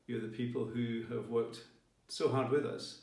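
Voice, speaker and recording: A man giving a formal ceremonial address as a college leader, with measured, deliberate phrasing. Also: medium pitch, fairly even intonation, creaky, darkish tone. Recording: roomy, distant mic, faint music behind.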